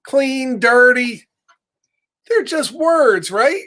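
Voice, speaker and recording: A man's voice: very high voice, lively intonation, very slow, sharp tone, pitched above the performer's own voice, full character voice. A man voicing a snarky, stubborn male pigeon from a children's picture book, flippant and defensive. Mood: flippant, dismissive.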